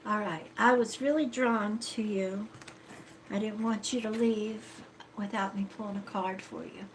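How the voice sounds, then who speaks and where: Slow, stuffy-nosed woman giving a reading. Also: mid-range voice, fairly even intonation, background noise. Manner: slow, soothing, reassuring, with frequent "okay?" and "all right?". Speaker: psychic card reader. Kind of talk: live-stream card reading.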